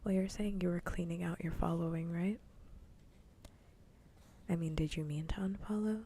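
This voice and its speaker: A woman chatting casually on an ASMR livestream in a soft, breathy whisper, teasing playfully and repeating her phrases, close to the microphone. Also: lowish voice, steady tone, normal speed, a little hiss or hum. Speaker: livestream host.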